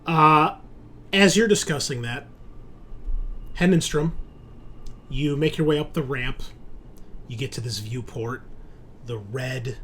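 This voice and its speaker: A man's voice: medium pitch, slow, pauses often, a bit bright. Man game-mastering, faint background noise. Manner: casual, conversational, swears. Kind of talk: improvised narration of a tabletop horror game.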